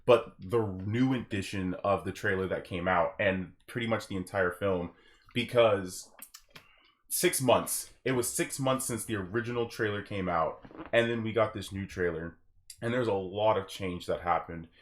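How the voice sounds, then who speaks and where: A man's voice: lowish voice, normal speed. A man chatting casually on a group podcast as a host, explaining his opinions.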